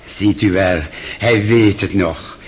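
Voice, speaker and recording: An actor playing a kindly, forgetful old German doctor giving halting, sentimental testimony in a radio drama. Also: male voice, lowish voice, fairly even intonation, breathy edge. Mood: excited, fond. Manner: halting, searching for words, sentimental.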